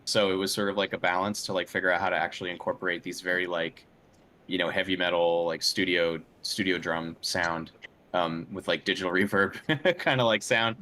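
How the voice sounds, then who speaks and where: A man being interviewed as a composer, talking casually and haltingly, often repeating words as he thinks. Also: low voice, flat delivery, sharp tone, slight background noise.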